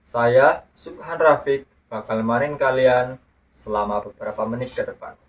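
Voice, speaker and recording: A man's voice: medium pitch, normal speed, background noise. Man hosting a podcast, mic set back. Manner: chatty, addresses listeners directly. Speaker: podcast host. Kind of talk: scripted podcast narration. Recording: distant mic with music underneath. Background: guitar music.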